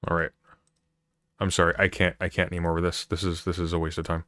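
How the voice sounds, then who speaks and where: Exasperated deep-voiced man ranting. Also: monotone, quick pace, sharp tone, no echo, studio quality. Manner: exasperated, emphatic, repeats himself. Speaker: streamer reacting to videos. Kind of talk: casual reaction commentary.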